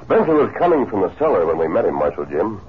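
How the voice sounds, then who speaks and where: An actor playing a calm, stern, commanding masked lawman in a 1950s radio western. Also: fairly low pitch, fast. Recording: muffled, faintly noisy 1950s radio recording.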